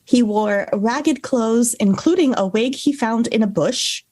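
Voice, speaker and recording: A woman's voice: fairly high pitch, a little slow, a little mellow, no echo. A woman co-hosting a podcast, telling a researched story in a casual way. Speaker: podcast co-host. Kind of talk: storytelling from notes with casual banter.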